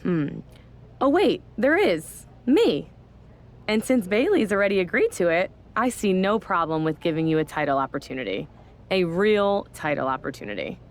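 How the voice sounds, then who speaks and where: A woman's voice: on the low side, very animated, moderate pace. An actress playing a sarcastic, no-nonsense woman general manager in a wrestling video game, dry and authoritative. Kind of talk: video game cutscene acting.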